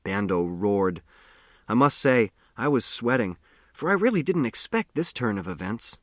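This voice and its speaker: A man reads a first-person story. His pitch sits in the middle of the male range. The sound is dry and close, as suits a narrated audiobook. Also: very animated, moderate pace, no echo.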